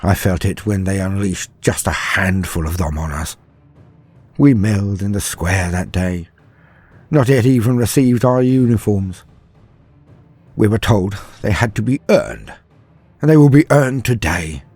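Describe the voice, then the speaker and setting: A man playing a frightened, haunted far-future soldier, telling his horror story in a dramatic first-person monologue with brooding pauses and shifts from hushed to emphatic. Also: lowish voice, lively intonation, breathy edge, soft background music, exaggerated voice. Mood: grim recollection. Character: frightened, haunted far-future soldier, former factory worker, recalling terrors.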